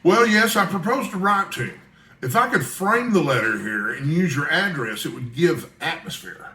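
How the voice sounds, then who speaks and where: A man's voice: fairly high pitch, animated, moderate pace, sharp tone, roomy sound. A man voicing an eager, polite, self-assured young newspaper reporter in an audiobook, speaking earnestly and courteously. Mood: reassuring. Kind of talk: audiobook character dialogue.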